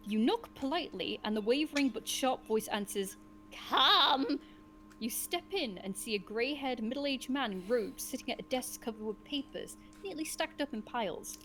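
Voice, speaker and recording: A woman hosting and narrating an interactive gamebook, mixing expressive storybook reading with casual asides. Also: fairly high pitch, normal speed, hardly any pauses. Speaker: host and narrator of a gamebook session.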